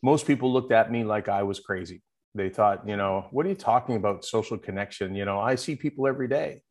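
A man's voice: fairly low pitch, brisk. A man being interviewed as a guest, speaking in an earnest, upbeat way over a remote call. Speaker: guest, founder of a connection project. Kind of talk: interview conversation.

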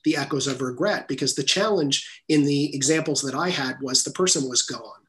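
Male author guest, even mid-range voice, earnest and reflective. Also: mid-range voice. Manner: earnest, measured, repeats words while rephrasing. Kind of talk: reflective interview talk.

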